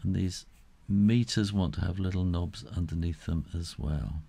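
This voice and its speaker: A middle-aged man giving a casual step-by-step drawing tutorial, unhurried and thinking aloud as he works. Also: sits low, gravelly, warm tone, breathy edge.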